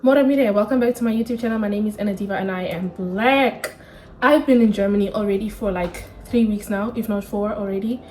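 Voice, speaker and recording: A woman's voice: mid-range voice, a little fast, darkish tone, slight background noise. A woman in her twenties from Namibia, chatting candidly and casually to camera in a sit-down vlog, full of slang. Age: 20s. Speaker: vlogger.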